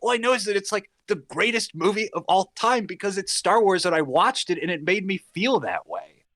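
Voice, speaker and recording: A man's voice: sits high, brisk, no echo. A man in his thirties chatting casually and emphatically as a podcast guest, swearing freely. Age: 30s. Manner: animated, emphatic, profane. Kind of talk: casual chat.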